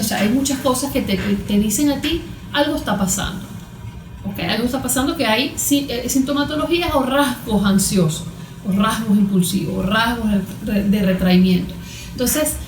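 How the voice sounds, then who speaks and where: A Venezuelan woman explaining as an expert guest in conversation, halting and repeating words, often posing rhetorical questions. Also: mid-range voice. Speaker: cognitive therapist, interview guest. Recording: echoey room with background noise.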